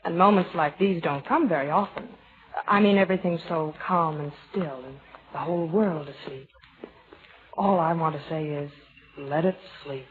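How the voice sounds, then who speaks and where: A woman's voice: sits low, moderate pace, slight background noise, lightly acted. A woman with an American accent playing a wistful, nervously sweet young writer in a 1940s radio romantic comedy, conversational and coy. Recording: muffled old-time radio recording.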